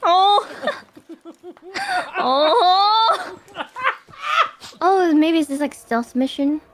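Give me an excitable woman gamer chatting, slow-paced with a lively pitch. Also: music in the background. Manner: excitable, gasping and laughing. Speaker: streamer playing co-op game. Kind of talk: casual gaming chat.

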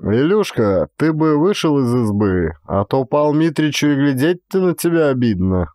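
Man acting a slow, stately Russian peasant strongman. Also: medium pitch, sing-song, darkish tone, no room sound, clean studio sound, close to an everyday voice. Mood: unhurried, staid. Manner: drawn-out, staid delivery. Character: sedate, dignified, mighty peasant man, slow and hospitable.

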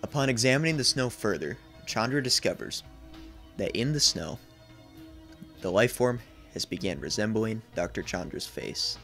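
A man's voice: on the low side, expressive, moderate pace, a bit bright, soft background music. A man in his twenties giving a scripted narration as a video essayist. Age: 20s. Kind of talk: scripted narration, horror series recap. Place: small indoor room.

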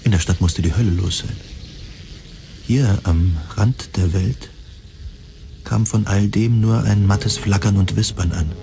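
A man narrating a first-person science fiction audio drama in measured, deliberate, literary phrasing. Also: fairly low pitch, creaky, noisy background.

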